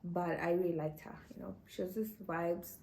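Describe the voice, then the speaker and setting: A woman's voice: fairly low pitch, fairly even intonation, normal speed, vocal fry, a little mellow. A young woman from Kenya, telling a personal story to camera in a chatty way, often laughing and saying "you know". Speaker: vlogger.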